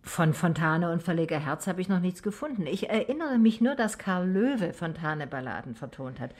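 An actress playing a wary, dryly observant private detective, narrating and speaking in a radio drama in a matter-of-fact manner. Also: female voice, on the low side, sing-song, moderate pace, darkish tone, dry recording. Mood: guarded. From Swabia, Germany. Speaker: first-person narrator and lead character.

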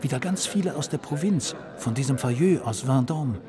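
An actor playing a dutiful broker's clerk in a radio drama, deferential and matter-of-fact. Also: male voice, mid-range voice, moderate pace, lightly acted. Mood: businesslike. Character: dutiful male clerk to a stockbroker. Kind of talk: German radio drama acting. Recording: clear crowd bustle behind.

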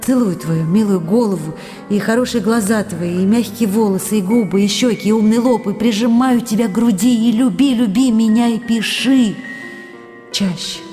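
A woman's voice: medium pitch, moderate pace, warm tone, natural voice. An actress playing a young woman writing tender, longing love letters, read in an intimate, emotional way, with faint music behind. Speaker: letter reader. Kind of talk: radio drama letter reading. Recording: reverberant studio with faint music.

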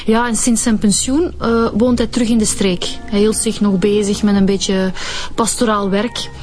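A woman's voice: medium pitch, steady tone, normal speed, a little mellow, faint music behind, close to an everyday voice. A woman playing a businesslike police inspector in a radio drama, conversational and direct with a Flemish accent. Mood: matter-of-fact. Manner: direct, conversational, slightly hesitant. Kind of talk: naturalistic radio drama acting.

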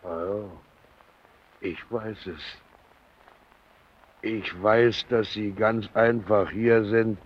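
An actor playing a gruff, suspicious, domineering man in a German radio drama, halting and insistent, on a dull recording. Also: on the low side, steady tone, a little hiss or hum. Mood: brooding, scornful. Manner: halting, searching for words, insistent.

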